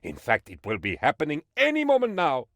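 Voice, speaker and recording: Animated, bumbling dictator's aide. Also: male voice, on the high side, brisk, dry recording, clean studio sound, heavily acted. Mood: pompous, enthusiastic. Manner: theatrical, excitable, mock-official delivery. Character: eager, bumbling, cheerfully shameless male aide to a dictator. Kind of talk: comic video game voice acting, reporting to his leader.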